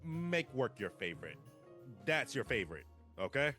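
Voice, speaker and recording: A man's voice: mid-range voice, very animated, moderate pace, pushed and bright, faint music behind. A man acting a hard-ass, grumpy department store manager at a table read of a comedy script, curt, bossy and exasperated.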